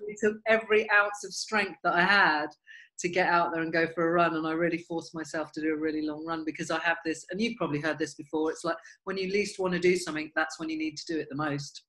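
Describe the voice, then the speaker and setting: A woman's voice: low voice, fairly even intonation, fast, fairly bright tone, poor audio. A woman hosting a self-development podcast, talking in an upbeat, chatty way and laughing often. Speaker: podcast host. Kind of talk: casual interview chat.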